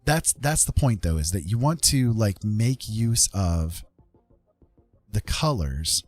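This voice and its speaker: A man with a lowish, slightly raspy voice hosts a relaxed art stream. He talks informally and rambles as he explains what he is doing. Faint music plays behind his voice. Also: slow pace, no room sound. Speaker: livestream host. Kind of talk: casual chat while demonstrating digital art.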